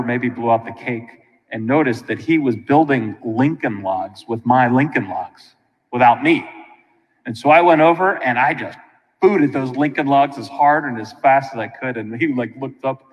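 American priest preaching in a reverberant church. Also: male voice, medium pitch. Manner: colloquial and animated, with frequent asides to listeners. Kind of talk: informal conversational sermon. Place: large church sanctuary, indoors.

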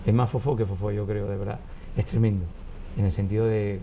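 A man in his fifties, born in Cuba, chatting as an interview guest in a wood-panelled studio, telling family anecdotes with lots of restarts and lively acted quotes. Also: fairly low pitch, fairly quick, gravelly, a little hiss or hum. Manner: animated, gestures with hands, many restarts and acted quotes. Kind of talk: relaxed conversational storytelling. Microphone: lapel mic.

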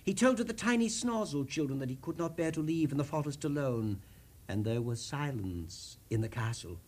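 A man hosting as storyteller, reading a children's storybook expressively with theatrical, dramatic pauses. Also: on the high side, expressive, a little mellow. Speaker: storyteller, TV host.

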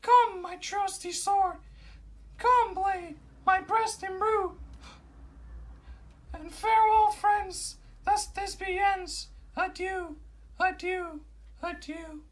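A woman voicing a lovelorn maiden in an amateur puppet show, declaiming verse in an overwrought, drawn-out way. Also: very high voice, steady tone, distant mic, full character voice. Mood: grieving. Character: lovelorn melodramatic maiden Thisbe, a sock puppet.

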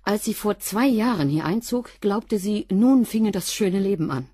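A woman's voice: medium pitch, animated, normal speed, dark tone, breathy edge, no room sound. An actress playing a blunt, guarded cleaning woman in a radio drama, answering in a dry, slightly defiant way. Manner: dry, curt, a bit defiant.

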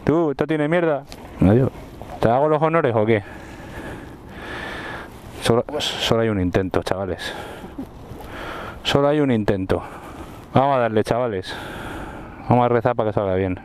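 Young madrid biker dude clowning around, slangy and jokey, outdoors w faint background hum. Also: male voice, medium pitch, lively intonation, moderate pace, long pauses, darkish tone. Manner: joking, slangy, showman-like banter. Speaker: visiting fellow motovlogger. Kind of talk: casual chat among friends. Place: outdoor gravel parking lot by the water.

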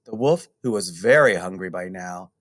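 A middle-aged man narrating a children's story expressively, with deliberate, emphatic phrasing. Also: sits low, expressive, a bit bright, no echo, studio quality. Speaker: storybook reader.

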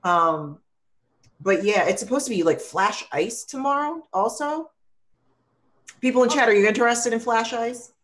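Woman chatting playfully with lively pitch. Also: normal speed. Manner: playful, animated. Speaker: co-host. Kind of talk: casual panel chat. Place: room at home. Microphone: video call.

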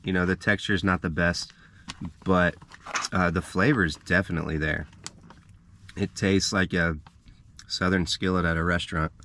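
A man in his thirties casually narrating a camp breakfast to the camera from inside a parked van, chatty and relaxed. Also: low voice, normal speed, pauses often, a little hiss or hum. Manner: relaxed, chatty, walks through each step. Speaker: travel vlogger. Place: inside a parked van, small enclosed space. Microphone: camera mounted in the vehicle.

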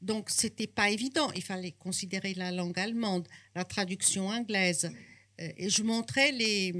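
A woman's voice: medium pitch, fairly even intonation, sharp tone. An older woman, speaking French at a bookshop talk on a handheld mic, reflective and full of restarts. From Brazil. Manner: unhurried, reflective, hesitant restarts. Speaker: author and psychoanalyst. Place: bookshop, indoors.

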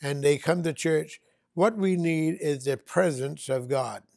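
An older man speaking slowly in an interview, easygoing and chuckling about his church's dress habits. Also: on the high side, vocal fry, darkish tone, dry recording, clean studio sound. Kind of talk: interview reminiscence.

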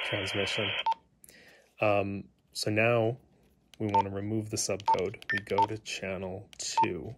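Low-pitched man with a creaky voice. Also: very noisy. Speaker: hobbyist demonstrating a gadget. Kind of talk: casual unscripted tutorial. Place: indoors in a living room.

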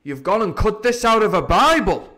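A man voicing a crafty older pirate sea cook who talks in a hearty, coaxing, gruff way. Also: high-pitched, big pitch swings, normal speed, sharp tone, pitched above the performer's own voice, heavily acted. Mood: wary.

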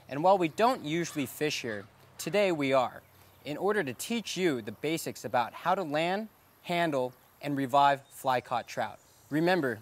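A young man narrating a fly fishing lesson, with a clear, steady, explanatory delivery. He speaks some lines on camera by a pond and reads the rest as a scripted voice-over. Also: mid-range voice. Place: outdoors by a pond.

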